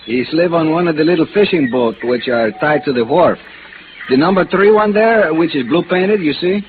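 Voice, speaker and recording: An actor playing a deferential, eager Mexican police captain with a Spanish accent and broken English in 1950s radio drama. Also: male voice, fairly high pitch, lively intonation, moderate pace, close to an everyday voice. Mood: helpful. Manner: courteous, broken English, theatrical. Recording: noisy, tinny vintage radio recording.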